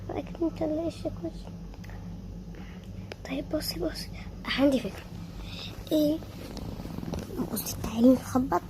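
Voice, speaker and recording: A young performer playing an older sister who keeps her voice low and steady, half-whispering to calm a frightened sibling in an Egyptian accent. The recording is noisy. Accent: Egyptian Arabic. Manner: hushed, reassuring, half-whispered.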